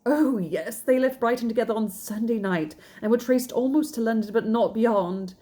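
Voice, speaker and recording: A woman's voice: a little high, normal speed, dark tone, pitched above the performer's own voice, close to an everyday voice. A woman reading the part of a distraught young woman whose sister has eloped, in an agitated, pleading way. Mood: agitated, despairing.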